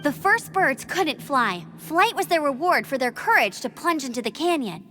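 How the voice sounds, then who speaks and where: A woman's voice: high-pitched, expressive, moderate pace, bright, forceful tone, slight background noise. A young woman voicing a spirited, bold outrider in a video game, upbeat and earnest. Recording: game audio with music behind.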